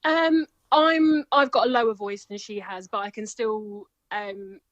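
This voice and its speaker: A woman's voice: medium pitch, steady tone, no room sound, highs cut off. Enthusiastic woman, dry close mic. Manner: enthusiastic, gushing, quick to laugh. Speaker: singer and comedian, guest. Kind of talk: casual interview chat.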